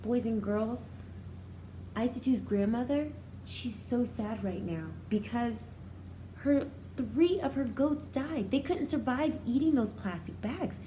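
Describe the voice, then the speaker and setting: A woman teacher reading a storybook aloud to young children, expressively, pausing to explain it in simple, repeated words. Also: fairly high pitch, mellow, slight background noise, rough recording.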